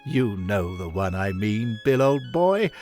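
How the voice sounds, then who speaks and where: A man voicing an elderly Victorian gentleman, fussy, sentimental and dithering, in a dramatic audiobook monologue. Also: fairly low pitch, big pitch swings, slow, heavily acted. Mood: swooning, sentimental. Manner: dithering, old-chum endearments, breathy swoons. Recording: music behind the voice.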